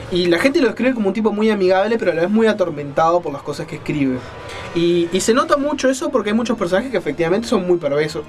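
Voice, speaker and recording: Higher-pitched man explaining over music. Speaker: radio show host reviewing books and films. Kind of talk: conversational book and film commentary.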